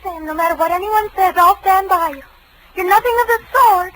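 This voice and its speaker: A woman's voice: extremely high pitch, fairly quick, fairly bright tone, low-quality recording. An actress playing a devoted, fretful detective's secretary in a girlish, concerned manner in a 1940s radio drama. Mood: loyal, eager. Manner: breathlessly concerned, doting. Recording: vintage radio broadcast, faint noise.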